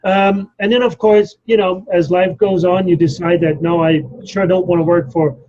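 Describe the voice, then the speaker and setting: A man's voice: sits high, steady tone, moderate pace, darkish tone, lo-fi sound. A man, a culinary instructor introducing himself in a live online class, chatty and often asking "right?". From Denmark.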